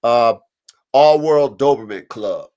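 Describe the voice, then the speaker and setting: A man's voice: medium pitch, takes it slowly. A man with allergies and a stuffed-up voice, hosting a live stream podcast and talking casually about dogs, with plenty of swearing and acted-out quotes. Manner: animated, profane, acts out dogs and owners in quoted voices. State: congested from allergies. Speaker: podcast host. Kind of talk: casual chat. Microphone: live stream mic.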